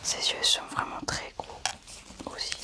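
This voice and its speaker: A whispered ASMR voice in the role of a medical examiner. The delivery is soft and methodical, describing each step of the procedure in a hushed breath. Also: measured pace. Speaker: ASMR roleplayer acting as an examiner doing an alien autopsy.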